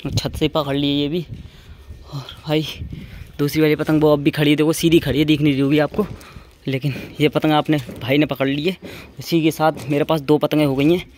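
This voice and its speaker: A man's voice: on the high side, little pitch movement, a little fast, a little mellow. Young man, noisy outdoor vlog. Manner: informal, addresses viewers as friends, repeats phrases. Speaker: vlogger. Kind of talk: casual running vlog commentary. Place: outdoors on open rooftops. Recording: noisy open-air handheld recording.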